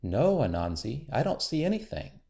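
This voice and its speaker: Man playing a soft, shy, clever little doe. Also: medium pitch, big pitch swings, normal speed, vocal fry. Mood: feigned innocence. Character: small, shy, clever female bush deer, innocently stubborn.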